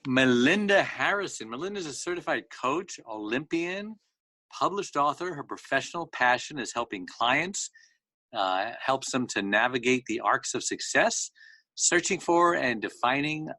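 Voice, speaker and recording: A man co-hosts a radio segment, talking conversationally at an average pitch. His voice comes through very dry, without any room sound. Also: sharp tone, no echo.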